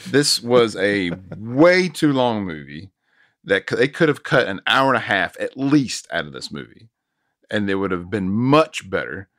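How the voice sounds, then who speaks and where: A man's voice: on the low side, very animated, a little slow, fairly bright tone, no echo, studio quality. A man, the resident critic on a film podcast, giving blunt, emphatic opinions in casual chat. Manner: blunt and emphatic about his dislikes.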